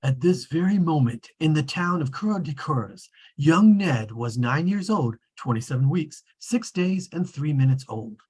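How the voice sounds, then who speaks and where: A performer reading an omniscient bedtime-story narrator in a measured, deliberate way. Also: medium pitch, animated, a little mellow, slightly rough. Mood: measured, precise.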